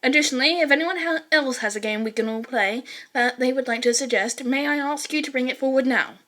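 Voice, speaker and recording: A woman plays an earnest teenage boy in a story reading, the class president. He speaks formally and at length, stiff and officious, in a fairly high voice. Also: a little fast, sharp tone. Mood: formal, insistent.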